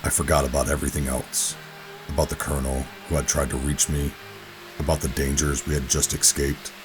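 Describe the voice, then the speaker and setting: A man playing a weary rogue operative, gruff but tender, narrating a sci-fi audio drama in a brooding, deliberate way. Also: rumbling low, little pitch movement. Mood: soft, intimate. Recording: music bed under the voice. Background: dramatic music.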